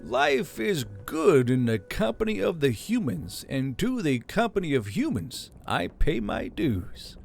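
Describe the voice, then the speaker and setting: Man reading smug male city lord, nonchalant, gloating, lively pitch, gravelly, audiobook. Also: unhurried, few pauses, soft background music, heavily acted.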